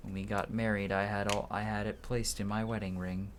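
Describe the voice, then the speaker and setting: A grieving, restless soul from a video game, read by a man in a low voice. He speaks earnestly and mournfully as a lost husband, with faint noise behind. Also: flat delivery, a little fast, natural voice. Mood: earnest, pleading.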